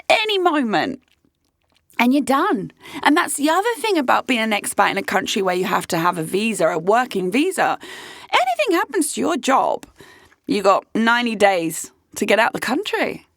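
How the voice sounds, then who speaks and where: A woman in her late thirties hosting her own podcast, talking candidly and emphatically in a rambling way, her voice hoarse. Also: on the high side, a bit bright, no echo, clean studio sound. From United Kingdom. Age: late 30s. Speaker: podcast host. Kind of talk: casual monologue. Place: podcast studio.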